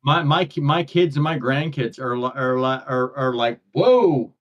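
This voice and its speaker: A man's voice: mid-range voice, expressive, measured pace. An older man with grandkids, co-hosting a casual podcast chat and rambling on, often stumbling and repeating words before he gets a thought out. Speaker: podcast co-host. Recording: dull lo-fi audio.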